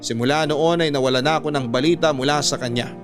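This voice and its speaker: A man's voice: fairly high pitch, fast talker, music in the background. A man narrating a scripted first-person story as a radio drama narrator reading out a letter.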